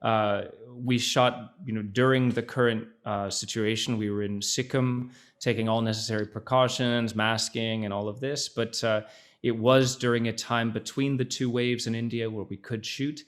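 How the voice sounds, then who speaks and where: A man in a relaxed interview talks over a video call at a middle pitch. He speaks thoughtfully, pausing on fillers and restarting his phrases as he goes. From Netherlands, by his mother. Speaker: actor, podcast guest.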